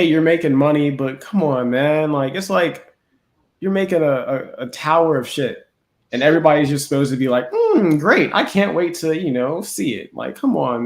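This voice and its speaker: A man chatting casually as a podcast host, opinionated and exasperated, with sardonic mock quotes, in a roomy space. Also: a little high. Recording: roomy echoing sound.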